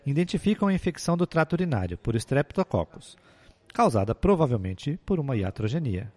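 Mellow male professor explaining. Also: medium pitch, big pitch swings, brisk. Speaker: professor and podcast co-host. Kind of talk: explanatory narration and commentary. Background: music.

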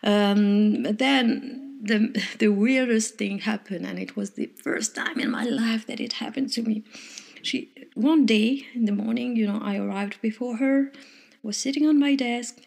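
A woman's voice: a little high, measured pace, vocal fry, a little mellow, breathy edge. A woman, an English speaker from Morocco, chatting through a personal story with many restarts. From Morocco, raised French. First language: French. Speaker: vlogger.

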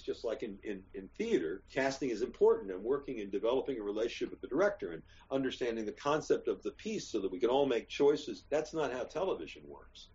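A man's voice: medium pitch, darkish tone. Older man, American accent, actor, rambling, emphatic, swears freely, dull lo-fi call sound. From United States. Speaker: actor and guest. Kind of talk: candid interview chat.

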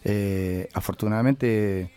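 An older man from Chile, a musician answering interview questions casually, halting and reflective as he searches for words. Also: fairly low pitch, warm tone, clean tone.

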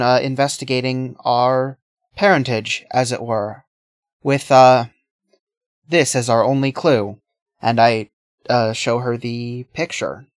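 Calm, polite woman at a gaming table. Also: very deep voice, dry recording, clean studio sound. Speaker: tabletop game player.

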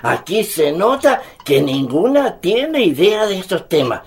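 A man's voice: sits high, big pitch swings, unhurried, vocal fry, breathy edge, exaggerated voice. A man playing a jolly, stubborn old Chilean grandfather with a big appetite in a radio drama, hesitating and repeating his words. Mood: playful protest. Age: older.

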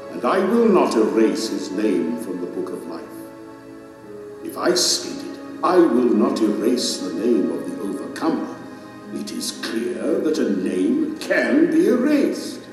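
A majestic king judging souls in an audio drama. He is solemn, stern and deliberate, with dramatic rises and falls in his speech. His words ring out through a vast echo over music. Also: medium pitch. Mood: grave judgment. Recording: cavernous long reverb under dramatic music.

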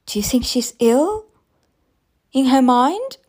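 An anxious young mother with fraying nerves acted by a woman narrator in a storybook. She sounds tense and emotional, her pitch lifted and very changeable. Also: big pitch swings, slow, a little mellow, slightly rough, exaggerated voice. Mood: worried.

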